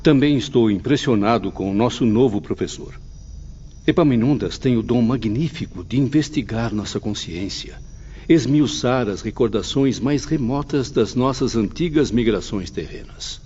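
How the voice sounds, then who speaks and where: A dull, lo-fi recording with faint ambience carries a man playing an earnest spirit student. He speaks in formal, measured phrases with a mellow tone, resigned to harsh discipline, in audiobook drama style. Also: mid-range voice, animated, a little hiss or hum, lightly acted. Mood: awed. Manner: formal, measured, literary speech.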